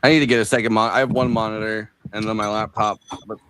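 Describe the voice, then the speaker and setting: A man's voice: fairly low pitch, little pitch movement, quick pace, noisy background. A man co-hosting a casual livestream, jokey and rambling, slipping into silly voices. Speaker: stream co-host.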